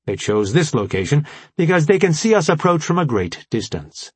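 Man acting as robot AI commander, raised pitch, formal, precise, logical, dry close sound, audiobook character. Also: very animated, moderate pace, husky, no room sound. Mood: solemn, explanatory. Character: formal robot artificial intelligence leader, measured and logical.

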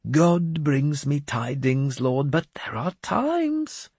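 A lofty, crafty madman of a bishop, his voice pitched high and stretched out in a slow, sing-song sermon cadence. He sounds slightly husky, and the sound is dry and close, as in an audiobook. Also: huge pitch swings, darkish tone, no room sound, exaggerated voice. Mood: scornful. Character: mad, sly, lofty self-proclaimed male bishop.

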